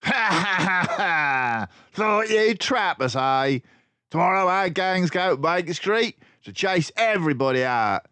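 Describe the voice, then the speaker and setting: Storybook villain, voiced by a male reader: rough, taunting mouse crook, raised pitch, swooping delivery. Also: animated, a little slow, pushed and bright, no room sound, exaggerated voice. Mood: jeering. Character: menacing, jeering male mouse gangster villain.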